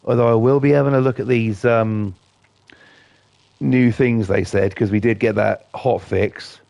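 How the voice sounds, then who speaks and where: A man hosting casual gameplay commentary, blunt and defiant, his voice croaky from a cold. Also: medium pitch, fairly even intonation, a little slow, a little mellow, no room sound. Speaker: gaming channel host.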